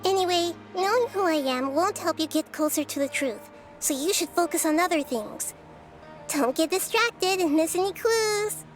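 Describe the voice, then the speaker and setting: A woman's voice: very high-pitched, moderate pace. A woman voicing a small, enigmatic young girl in an anime video game, composed and wise beyond her years. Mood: cryptic, evasive. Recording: background music behind the voice.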